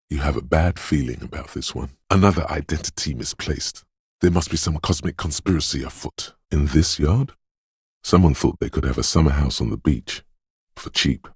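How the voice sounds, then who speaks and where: An actor playing a brooding, dreamlike inner voice of intuition in a video game, narrating slowly, solemnly and ominously in poetic second person. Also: male voice, rumbling low, breathy edge, no room sound, clean studio sound, exaggerated voice.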